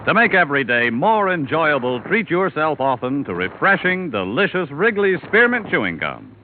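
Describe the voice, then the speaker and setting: A man reading commercials as a 1950s radio announcer, in a bright sales style. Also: fairly high pitch, sing-song, normal speed, loud music behind the voice. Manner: bright, sing-song salesmanship. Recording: tinny old radio broadcast.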